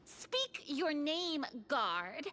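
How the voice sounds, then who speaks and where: A woman voicing an excitable, bold young child playing hero in a video game, eager and dramatic, blurting questions. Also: high-pitched, very slow, noisy background, full character voice. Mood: dramatic, accusing.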